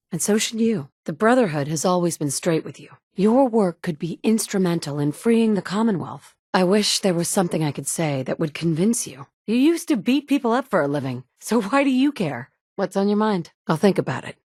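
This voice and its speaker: A dry, blunt game heroine. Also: female voice, fairly low pitch, darkish tone, no room sound. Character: tough wry woman survivor, young adult. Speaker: player character. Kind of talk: video game dialogue.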